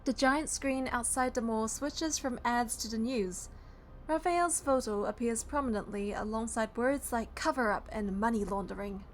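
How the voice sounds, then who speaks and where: A woman's voice: fairly high pitch, normal speed, slight background noise. A woman reading a video game's story text aloud, with casual asides as she plays.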